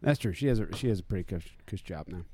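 A man's voice: deep voice, lively intonation, fast talker, darkish tone, slight background noise. Wisecracking man with a bouncy voice. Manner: ribbing, wisecracking. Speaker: podcast co-host. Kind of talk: casual chat. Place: kitchen.

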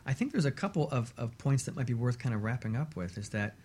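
A man speaking conversationally as a therapist guest on a talk show, with measured, reflective phrasing. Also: mid-range voice, animated, darkish tone, breathy edge. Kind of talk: conversational discussion of relationships.

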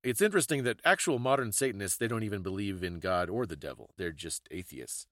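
A man's voice: lowish voice, animated, fast, a bit bright, no echo. Male podcast co-host, reflective and conversational explainer, very dry recording.

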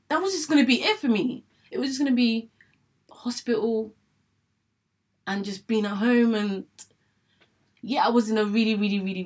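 A woman's voice: medium pitch, breathy edge. A young woman from England talking candidly to camera about her own life, chatty, often repeating herself and acting out her past thoughts. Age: young adult. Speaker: vlogger sharing her illness story. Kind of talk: candid personal monologue.

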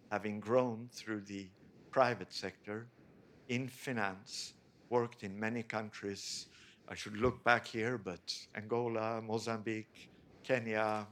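A man moderating a panel, introducing the guest speaker in an admiring, slightly halting way. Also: on the low side, a bit bright, slight background noise. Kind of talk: formal introduction.

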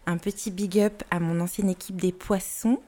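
A woman's voice: medium pitch, fairly even intonation, moderate pace, dark tone. A woman presenting a podcast segment to listeners, enthusiastic and chatty, with frequent hesitations. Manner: enthusiastic, hesitant with many fillers and restarts. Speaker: podcast columnist. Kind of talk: casual chat reporting to listeners.

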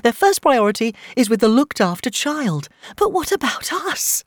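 A woman's voice: on the high side, sing-song, very slow, a little mellow, no room sound, pitched above the performer's own voice, heavily acted. A woman narrator puts on a distraught foster mother in an audiobook memoir. The voice is raised and trembling, swinging emotionally as she pours out her trouble. The sound is close and dry. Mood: distraught, indignant. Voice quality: trembling, breaking with sobs. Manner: emotional, indignant outbursts. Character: distraught, tearful foster mother pleading on the phone.

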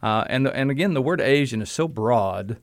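A man's voice: mid-range voice, expressive, normal speed, no room sound, clean studio sound. A man hosting a podcast interview as a seminary professor, talking in a friendly, easygoing way and often restarting his phrases.

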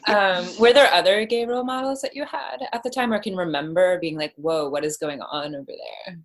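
A woman's voice: fairly low pitch, a little fast. A woman asking informal, conversational questions as an interviewer.

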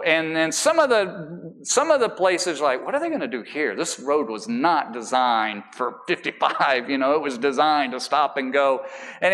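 A man's voice: fairly high pitch, a bit bright. Man preaching in a reverberant hall. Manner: conversational, rambling asides with everyday illustrations. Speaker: pastor. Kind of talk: sermon. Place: church sanctuary.

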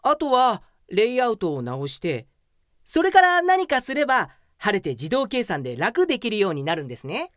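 Cocky male office dunce played by an actress, whiny and theatrical, high animated voice, radio comedy. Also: normal speed, dry recording, studio quality. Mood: grudging, hopeful. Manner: theatrical, brazen. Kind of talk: comic radio drama acting.

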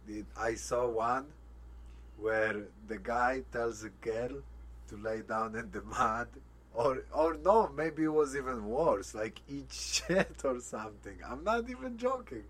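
A man's voice: mid-range voice, a little slow, husky. A young man from Poland, rambling casually and swearing now and then. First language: Polish. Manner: relaxed, rambling, frequent swearing. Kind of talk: casual chat.

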